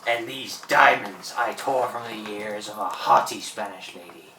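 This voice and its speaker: An actor playing a boastful, menacing old pirate in a theatrical radio play. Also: male voice, fairly low pitch, unhurried, bright, forceful tone, slight background noise. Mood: gloating. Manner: theatrical, gloating, cackling. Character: boastful old French pirate, greedy and menacing, newly revived. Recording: roomy, distant microphone.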